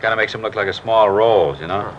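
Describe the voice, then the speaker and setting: An actor playing a folksy, slippery garage owner in an American crime drama, rambling along with ingratiating friendliness. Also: male voice, on the low side, fast, lightly acted. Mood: chatty. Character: chatty, folksy, slippery male garage owner feigning innocence. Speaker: actor in a police radio drama. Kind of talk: mid-century American crime drama acting. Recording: dull vintage broadcast, faint noise.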